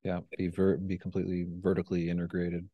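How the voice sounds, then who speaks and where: A man's voice: sits low, monotone, quick pace, dry recording, highs cut off. A man in his thirties hosting a podcast, affirming his guest politely and asking short questions into a studio mic. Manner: brief affirming interjections, polite. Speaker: podcast host. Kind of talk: interview hosting. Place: small studio with neon-lit backdrop.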